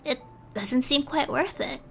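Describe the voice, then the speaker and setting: A woman voicing a calm, knowing female archiver who speaks softly and gently teases. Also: high-pitched, unhurried, a little hiss or hum, exaggerated voice. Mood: reassuring.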